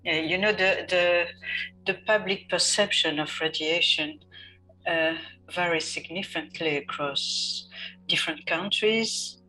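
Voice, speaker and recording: Woman, interviewee, measured, very slow pace, bright voice. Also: on the low side, soft background music, rough recording. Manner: measured, pausing often with fillers. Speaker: interviewed expert on radiation. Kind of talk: slow, considered interview answers.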